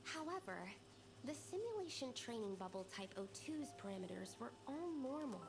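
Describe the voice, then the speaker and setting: Young science nerd in an anime game, highish voice, polite n careful, earnest, kinda formal. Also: female voice, very animated, moderate pace, noisy background. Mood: polite, concerned. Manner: precise, tentative, formal. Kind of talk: anime video game voice acting.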